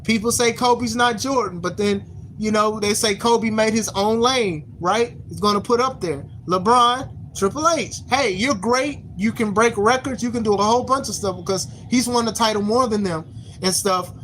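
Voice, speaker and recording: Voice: medium pitch, moderate pace, a bit bright, a little hiss or hum, poor audio. Rambling thirty-something podcast host. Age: 30s. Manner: rambling, repeats words, slangy. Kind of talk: casual opinion commentary.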